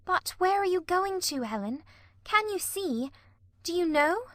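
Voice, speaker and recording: Young girl played by a woman, audiobook drama: earnest and devoted, girlish high voice, lively pitch, close dry sound. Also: unhurried, no echo. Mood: anxious, pleading. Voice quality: soft, girlish. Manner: earnest, pleading, questioning. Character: anxious, devoted young girl at friend's bedside.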